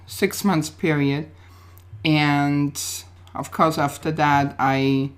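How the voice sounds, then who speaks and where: Low-voiced Austrian woman. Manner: upbeat, determined, speaks in short affirmations. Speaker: guest, plus-size model. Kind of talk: interview answers.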